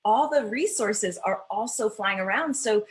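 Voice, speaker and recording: A woman's voice: mid-range voice, measured pace. A woman hosting a live online interview over a video call, reflectively summing up her guest's points. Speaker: host.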